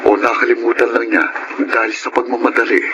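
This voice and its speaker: A man's voice: medium pitch, level tone, brisk, very noisy, close to an everyday voice. A man acting a polite, humble tricycle driver and caring elder brother in a Filipino radio drama. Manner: respectful, earnest.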